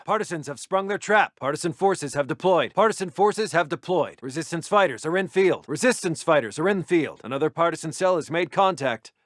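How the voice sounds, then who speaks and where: Male American army intel officer played by an actor for a strategy game: slightly high-pitched, clipped, official, dry close sound. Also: no echo, lightly acted. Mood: measured, official. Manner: clipped, official, dutiful reporting. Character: brisk, businesslike American military intelligence officer. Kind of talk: game unit announcements, crisp scripted military reports.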